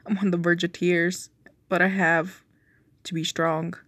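Female narrator for amateur story reading, unhurried pace, dry close sound. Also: fairly low pitch, level tone, fairly bright tone, dry recording.

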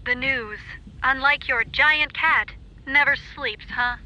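A woman's voice: high-pitched, takes it slowly, sharp tone, heavily acted. A woman playing a playful, teasing twin sister with a breezy manner, heard through a telephone filter. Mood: playful, fond. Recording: dull, band-limited phone call.

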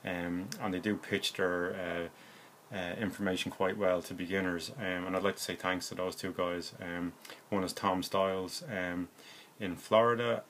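A man's voice: sits low, fairly bright tone, a little hiss or hum. A man in his forties with an Irish accent, giving a casual, unscripted how-to explanation in a hesitant, plain-spoken way, recorded indoors on a phone. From Ireland. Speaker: hobbyist presenter. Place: indoors in a room at home.

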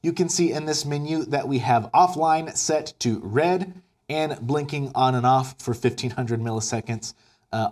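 A man's voice: medium pitch, darkish tone. A man in his thirties with an American accent, explaining step by step as an upbeat instructional video presenter. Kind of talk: instructional tutorial narration. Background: background music.

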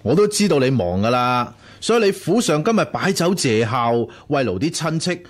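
An actor playing a jovial, flattering hanger-on to a rich man in a Chinese period radio drama. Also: fairly high pitch, moderate pace. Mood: obliging. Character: fawning, jovial male hanger-on and sworn younger brother.

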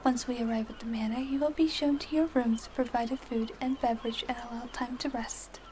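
A woman plays a poised young assistant with a slightly high voice who whispers softly in a formal, teasing way. Her speech stays prim and courteous. A clear ambience hiss runs under her voice. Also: steady tone, a little fast, natural voice. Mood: polite whisper. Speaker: personal assistant to the listener. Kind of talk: ASMR audio roleplay.

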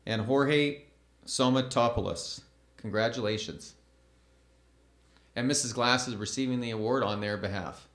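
A man in his thirties reads award citations at a podium, his middle-pitched voice measured and formal. The room rings around him with a roomy echo, as on a school event stage. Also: a bit bright. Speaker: awards ceremony announcer. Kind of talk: reading award announcements. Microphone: podium microphone.

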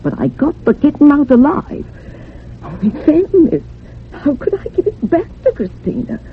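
An actress playing an older, sorrowful village housekeeper in a radio drama, confiding in rustic, old-fashioned turns of phrase. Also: female voice, sits high, huge pitch swings, normal speed, full character voice. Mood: anguished, confiding. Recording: muffled phone-like radio recording with hum.

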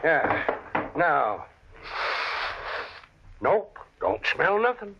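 An actor playing a folksy, querulous, stammering comic old cowboy sidekick in a radio western. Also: male voice, sits high, very animated, very slow, gravelly, exaggerated voice. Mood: grumbling, puzzled. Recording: noisy, muffled vintage radio recording.

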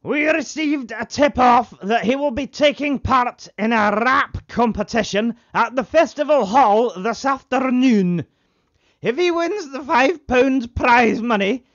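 A man's voice: very high-pitched, fairly bright tone, heavily acted. Man putting on a caricature radio policeman, muffled. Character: caricature Scottish policeman, keen and solemn. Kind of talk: parody of 1950s radio drama acting. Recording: muffled vintage radio sound.

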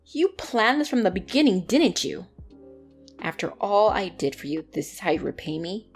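A woman's voice: mid-range voice, sing-song, normal speed, husky, faint music behind. A woman playing a bratty, easily flustered young tsundere catgirl in an ASMR roleplay, speaking indignantly and defensively to the listener. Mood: indignant, bossy.

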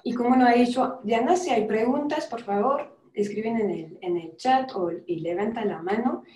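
A woman's voice: fairly high pitch, normal speed, warm tone, a little hiss or hum. A woman in her thirties from France with a French accent, lecturing on a video call, careful and searching for words. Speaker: guest lecturer, trumpeter. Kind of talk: online lecture. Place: room with brass instruments. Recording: roomy video-call audio.

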